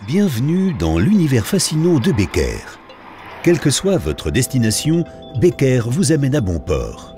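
Animated male voice-over over soft music. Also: mid-range voice, unhurried. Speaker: voice-over narrator. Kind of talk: scripted promotional narration.

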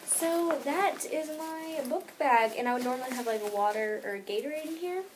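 A woman's voice: sits high, moderate pace, a little hiss or hum, low-quality recording. A teenage girl with an American accent chatting casually to a camera in a bedroom, rambling and saying "like" often. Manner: chatty, rambling, filler-heavy with frequent "like". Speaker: vlogger. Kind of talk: casual chat to camera, showing bag contents. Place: bedroom, indoors.

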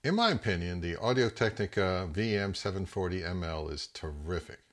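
A man in his fifties with a fairly low voice hosts a review channel. He delivers scripted product commentary in the manner of a narrator, speaking as a presenter to his viewers. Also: expressive, normal speed, fairly bright tone.

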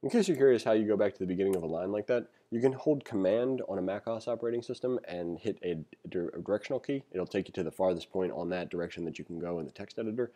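A man giving a casual read-along programming tutorial, reading aloud and thinking out loud with informal explanatory asides. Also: low voice, quick pace, hardly any pauses. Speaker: programming instructor. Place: screen-recorded voice-over.

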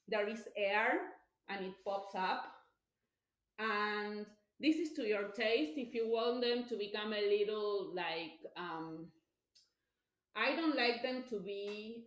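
Slow-talking woman in an echoey kitchen. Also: medium pitch. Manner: chatty and explanatory, with many fillers. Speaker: cooking instructor. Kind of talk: live cooking demonstration. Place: home kitchen, indoors. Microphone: device camera across the counter.

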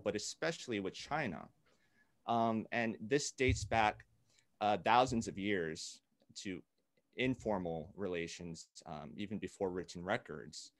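A young man from Okinawa and Hawaii answers interview questions in a low, steady voice. He talks deliberately, stopping often on "uh" and "um". The sound is dry and close, like a video call. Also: no echo. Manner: measured, deliberate, with frequent "uh" and "um". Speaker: guest, peace activist.

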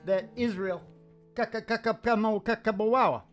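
A man's voice: high-pitched, steady tone, normal speed. A man in his sixties, a musician hosting a show, chatting casually and ramblingly into a close stand microphone. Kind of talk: casual rambling stage banter. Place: small wood-panelled indoor room. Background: acoustic guitar.

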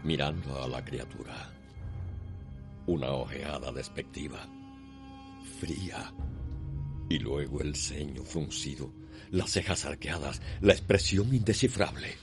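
A man's voice: a bass voice, a little slow, pauses often. A man with a Cuban accent giving dramatic scripted narration as the narrator of a radio soap opera. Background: music.

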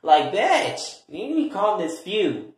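A sassy, exasperated meddler played by a man scolds with drawn-out emphasis and plenty of swearing. The voice is fairly high and swoops in pitch, in a very echoey room, as comedy skit acting. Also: wildly swooping pitch, full character voice. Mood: exasperated scolding. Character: sassy, exasperated, meddling peacemaker who eggs on feuds.

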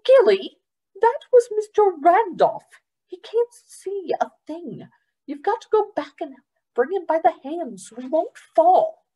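A woman's voice: very high-pitched, very animated, measured pace, highs cut off, pitched above the performer's own voice, exaggerated voice. A woman reading aloud, voicing a bossy, snappish foster mother who scolds sharply. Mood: scolding.

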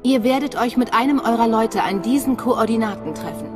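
Cool, higher-voiced female agent played by a woman. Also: a little fast, music in the background, close to an everyday voice. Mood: cool, businesslike. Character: cool, professional female imperial secret agent. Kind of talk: dramatic sci-fi game dialogue.

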